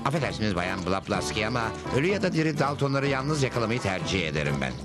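Çizgi film dublajında kibar, sakin ve iğneli bir kovboy kahramanı seslendiren bir erkek oyuncu. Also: male voice, mid-range voice, sing-song, fast, close to an everyday voice. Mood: dry, skeptical. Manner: polite, dryly ironic. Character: calm, dry-witted cowboy hero, politely skeptical. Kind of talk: Turkish cartoon dub. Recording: loud music under the voice.

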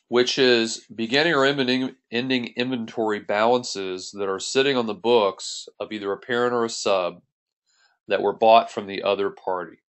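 A man's voice: mid-range voice, fairly bright tone. A man working as an accounting instructor, narrating a tutorial in a methodical, step-by-step way. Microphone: voice-over on a screen recording.

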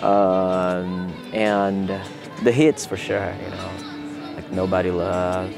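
A young Brazilian man, a native Portuguese speaker with a Brazilian accent, casually answering interview questions in a relaxed way while seated in a theater hall. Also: low voice, takes it slowly, gravelly, over music. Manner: relaxed, laid-back, many fillers like "you know" and "man". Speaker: guitarist.

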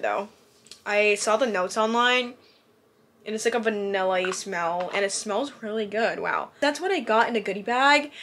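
A woman's voice: mid-range voice, pushed and bright. A young woman in her twenties chatting casually to camera in her bedroom, bubbly and full of likes and ums. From New Jersey. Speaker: vlogger. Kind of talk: casual vlog chat to camera. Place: bedroom at home, indoors.

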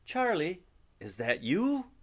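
Man putting on a high-voiced, tender young girl. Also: huge pitch swings, takes it slowly, fairly bright tone, exaggerated voice. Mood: questioning, astonished. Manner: high, emotional exclaiming. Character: young girl, tender and eager pet owner.

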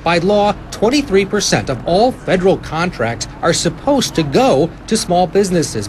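A man in his forties, a field reporter, narrating a news story in a clear, measured delivery while standing on a town street. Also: high-pitched, slight background noise. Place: outdoors on a small-town street.

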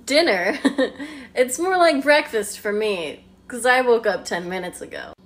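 Woman, lively pitch, fairly high voice, playful, self-mocking comedy skit. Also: measured pace. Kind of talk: comedic short-video skit.

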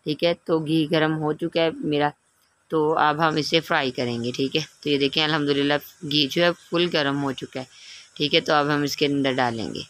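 A woman's voice: deep voice, fairly bright tone. A woman narrating a home recipe in a casual, instructive way, often checking in with the listener as she explains each step. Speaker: home cooking channel host.

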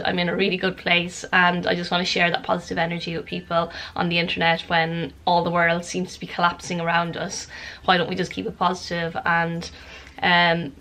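Bright-voiced woman with a snuffly cold. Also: fairly low pitch, poor audio. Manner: earnest, open, talks with her hands. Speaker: vlogger. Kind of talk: casual vlog chat to camera. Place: living room of an old cottage.

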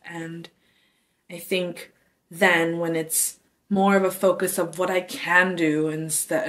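A woman in her twenties from Taiwan, a dancer, speaking softly and thoughtfully in an interview with some halting pauses. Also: fairly low pitch. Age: 20s. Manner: soft-spoken, thoughtful, halting. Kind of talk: interview testimony. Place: dark studio indoors.

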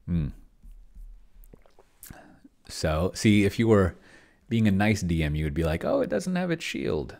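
Very deep, gravelly middle-aged man. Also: very animated, darkish tone. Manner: halting, muttering to himself while working. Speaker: solo tabletop roleplayer testing an AI game master. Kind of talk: casual screen-share commentary, thinking aloud. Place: indoors at a desk in a home room.

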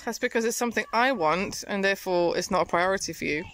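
A woman's voice: mid-range voice, quick pace, fairly bright tone. A woman chatting casually through a tour of her back garden, teasing and laughing often. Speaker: family vlogger. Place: sunny back garden outdoors.

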